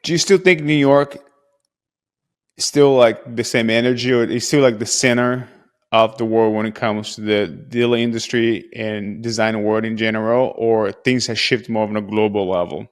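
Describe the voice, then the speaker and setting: Low-voiced male interviewer. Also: steady tone, moderate pace. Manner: loose, rambling questions with fillers. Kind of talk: casual interview questions.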